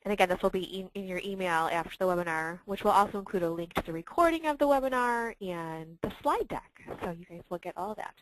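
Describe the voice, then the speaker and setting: A woman hosting a webinar informally, with a hesitant, halting delivery. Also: on the low side, poor audio. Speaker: webinar host and moderator.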